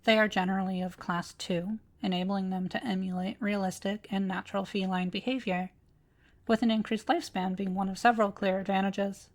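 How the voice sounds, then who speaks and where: A woman playing an ancient, courteous automaton librarian in a scripted audio roleplay, speaking formally with precise, measured diction. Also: mid-range voice, fairly even intonation, natural voice. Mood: calm, instructive. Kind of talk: scripted roleplay audio drama addressed to listener.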